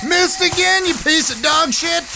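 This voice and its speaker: Man playing a high-pitched, brash young cowboy. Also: moderate pace, creaky. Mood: taunting, excited. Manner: folksy, drawling rural speech. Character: brash, hot-headed younger brother, Old West gunslinger. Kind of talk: audio drama western acting. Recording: noisy track with cricket-filled outdoor ambience.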